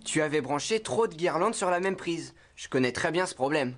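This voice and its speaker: Man as junior firefighter, friendly, goofy, eager, varied pitch, cartoon, background music. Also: animated, brisk, close to an everyday voice. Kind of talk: animated children's cartoon dubbing. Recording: music behind the voice.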